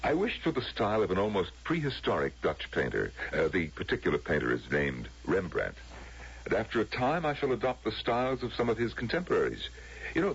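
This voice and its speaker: A man playing a courteous, genteel gentleman of a future utopia in a radio drama, with a gravelly voice and a polite, solicitous manner. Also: fairly low pitch, full character voice. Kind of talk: 1970s radio drama acting. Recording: dull vintage radio drama recording.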